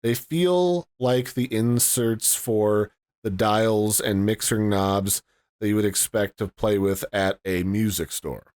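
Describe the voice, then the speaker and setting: A man's voice: lowish voice, takes it slowly, a little mellow. A man giving a casual, chatty product review and walkthrough, explaining things in a folksy way. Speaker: tech reviewer.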